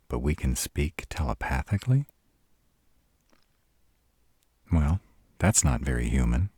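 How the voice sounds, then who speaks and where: A man's voice: a bass voice, dark tone, husky, no room sound, studio quality, full character voice. A man playing a sarcastic, brooding male vampire in an intimate roleplay audio drama, proud and guarded but secretly smitten. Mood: sardonic, pleading. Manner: sardonic, exasperated, halting when vulnerable.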